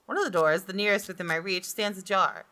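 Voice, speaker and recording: A woman gamer reading a visual novel aloud as expressive first-person story narration, with music behind her. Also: mid-range voice, quick pace. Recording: guitar game music behind the voice. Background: game music with guitar.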